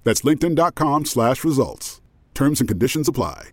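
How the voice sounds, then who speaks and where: A man's voice: medium pitch, sing-song, moderate pace, a little mellow, no room sound, studio quality. A man doing a scripted advertising voice-over. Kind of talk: scripted ad read.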